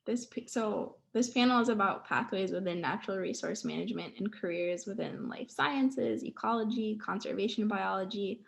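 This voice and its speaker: Creaky-voiced woman moderating a panel. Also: medium pitch, normal speed, few pauses. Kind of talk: informal moderating.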